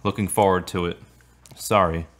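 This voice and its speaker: A kind, earnest friend in a radio play. His voice is deep, and he speaks politely and a little tentatively, with sincere care. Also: steady tone, moderate pace. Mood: friendly. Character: Derek, kind, earnest, devout male friend. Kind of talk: radio drama acting.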